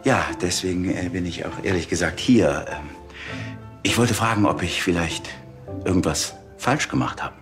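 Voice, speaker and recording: An actor playing a courteous, hesitant doctor, shy and awkward, in a TV drama. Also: male voice, low voice, normal speed, soft background music. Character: polite, awkward, shyly courting male doctor. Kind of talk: TV drama acting.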